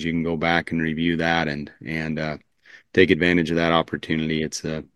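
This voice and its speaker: A man's voice: sits low, no room sound, studio quality. A man hosting an interview podcast over a video call, earnest and affirming, often adding short nods of agreement. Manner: earnest, affirming, frequent backchannels. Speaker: podcast host.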